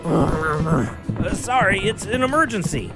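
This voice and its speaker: A man voicing a big, earnest, excitable blue ox in a children's radio drama. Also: high voice, slow pace, over music, exaggerated voice. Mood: flustered. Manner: emotional, earnest.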